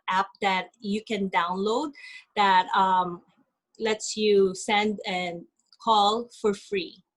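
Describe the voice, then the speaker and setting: A woman speaks earnestly over a video call, her voice at an everyday middle pitch. She pauses often with filler sounds as she explains her experience. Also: fairly bright tone. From Philippines. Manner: earnest, halting with many ums. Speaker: guest speaker. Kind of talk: webinar presentation.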